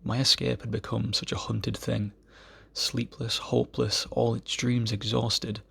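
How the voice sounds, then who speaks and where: A man giving an amateur poetry reading in a solemn style, with measured, deliberate phrasing. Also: medium pitch, flat delivery, gravelly, fairly bright tone, breathy edge.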